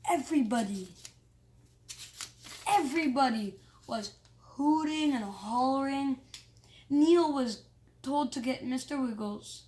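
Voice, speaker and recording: A high-voiced reader reads a children's story aloud. The delivery is animated and eager but halting, with words repeated and small stumbles along the way. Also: a little hiss or hum.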